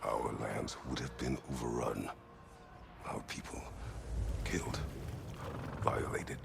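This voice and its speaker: A man playing a brooding, guilt-laden warrior in a video game, gravelly and terse, speaking in grave, clipped phrases. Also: fairly even intonation, slow pace, exaggerated voice. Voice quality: very deep, gravelly. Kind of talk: cinematic video game acting. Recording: music under the voice.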